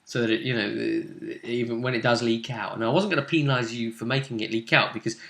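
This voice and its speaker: Bright-voiced game master, echoey room. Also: male voice, medium pitch, steady tone, fairly quick, vocal fry. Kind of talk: casual tabletop role-playing game talk.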